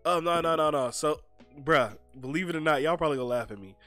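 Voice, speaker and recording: A young man with a stuffy, sniffling nose hosts a casual podcast, talking in a laid-back, rambling way that keeps restarting and repeating itself. His voice sits a bit high for a man, and faint music plays behind him. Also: fast talker. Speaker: podcast host. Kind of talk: casual chat.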